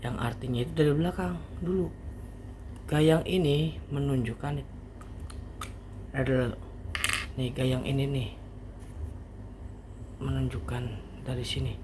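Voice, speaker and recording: A man giving an informal language lesson as a teacher, explaining haltingly step by step with casual asides. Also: mid-range voice, fairly even intonation. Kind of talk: informal online grammar lesson. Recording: faint background noise.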